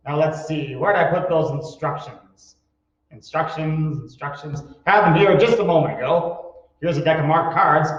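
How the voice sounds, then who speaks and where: Rambling flowery swindler acted by a man for radio comedy; highish voice in a reverberant hall. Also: natural voice. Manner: flowery, rambling, muttering to himself. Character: shady, flowery-talking old-time con man, jovially muttering. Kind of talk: old-time radio comedy acting. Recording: echoey hall, distant mic, dull sound.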